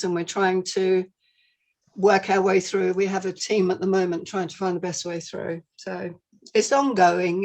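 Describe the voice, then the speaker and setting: British woman guest lecturer on a video call, steady explanatory delivery. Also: medium pitch. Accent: British English. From United Kingdom. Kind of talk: online seminar discussion.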